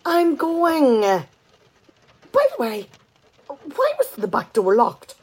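An actress playing an exasperated, sarcastic wife in a radio play comedy, talkative and full of indignant questions. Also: female voice, high voice, huge pitch swings, extremely slow, a little mellow, heavily acted. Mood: suspicious.

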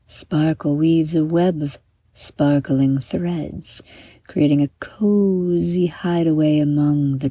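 A woman with a low, mellow voice leads a guided bedtime meditation. She reads in a soothing, unhurried way, with a soft husky breathiness as phrases end. The recording is close and dry. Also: dry recording. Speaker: guided meditation narrator and host.

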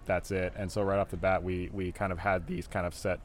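A man, a film director, answering interview questions in a relaxed, rambling way full of fillers, recorded live at a festival venue. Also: low voice, a little fast, slight background noise.

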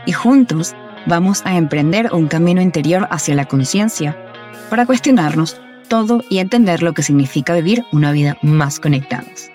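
A woman in her twenties with a Venezuelan accent, hosting a podcast in a scripted, personal narration with articulate, deliberate phrasing. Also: deep voice, a little mellow. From Caracas, Venezuela. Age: 20s. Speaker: podcast host.

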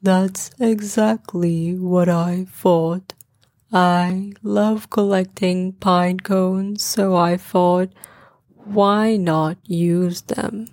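A woman voicing a gentle, plodding male donkey in a bedtime storybook. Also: lowish voice, steady tone, very slow, no echo, clean studio sound, voice pushed down. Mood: quietly pleased.

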